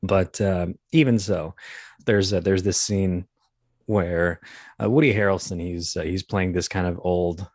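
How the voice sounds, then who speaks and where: Very deep-voiced man in his thirties. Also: steady tone, darkish tone, no room sound, studio quality. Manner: halting, self-deprecating, wry. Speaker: guest, voice actor and comedian. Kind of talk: casual interview, anecdotal storytelling.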